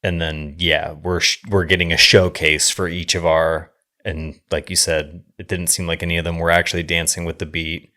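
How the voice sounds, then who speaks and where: A man's voice: deep voice, level tone, moderate pace, bright, forceful tone. A man co-hosting a podcast, talking casually about films in a musing, circling way. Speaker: podcast co-host discussing films. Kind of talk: casual film discussion.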